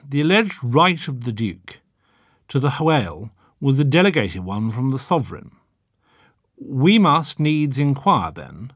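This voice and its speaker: A man reading a literary audiobook in an expressive, wry, theatrical manner. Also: mid-range voice, no room sound, studio quality. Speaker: audiobook narrator.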